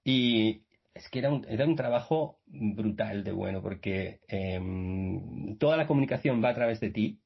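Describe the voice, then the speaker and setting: Brief: male guest, husky voice, meandering anecdotes, muffled call audio. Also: fairly low pitch, measured pace. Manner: rambling with many restarts and fillers. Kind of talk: casual storytelling in a radio interview.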